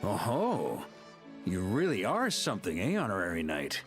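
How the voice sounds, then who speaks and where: A man voicing a composed, worldly, commanding expedition leader in a video game, wry and theatrical in a measured way. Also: low-pitched, huge pitch swings, music in the background.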